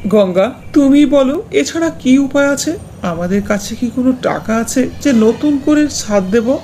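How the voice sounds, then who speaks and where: A woman's voice: high voice, expressive, unhurried, mellow, a little hiss or hum. A woman voicing a poor, cautious village husband and father in a cartoon fairy tale, sounding resigned. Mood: worried, cautious.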